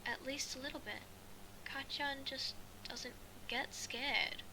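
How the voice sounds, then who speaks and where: A woman reading a first-person story aloud as an amateur, in a halting way with stumbles and repeated phrases. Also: high-pitched, fairly even intonation, background noise. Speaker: amateur fan fiction reader.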